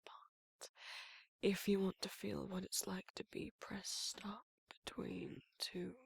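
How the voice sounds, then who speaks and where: A man playing a frail, flirtatious lover in intimate ASMR roleplay, with a theatrical drawl and a breathy, creaky voice. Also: sits high, flat delivery, takes it slowly, no room sound, full character voice. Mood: sly, seductive. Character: frail, languid, flirtatious male lover, grumbling and seductive.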